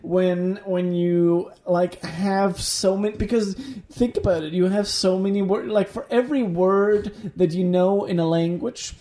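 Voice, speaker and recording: A man whose first language is Danish, co-hosting a casual podcast chat, talking haltingly with many fillers and restarts. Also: high voice, steady tone, dark tone, a little hiss or hum. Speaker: podcast co-host.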